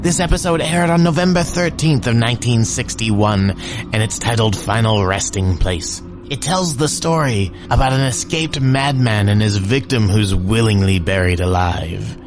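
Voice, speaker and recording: A man's voice: fairly low pitch, moderate pace, music in the background. A man hosting a podcast who introduces old-time radio episodes in a playful, upbeat manner. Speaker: podcast host. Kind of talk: casual show introduction.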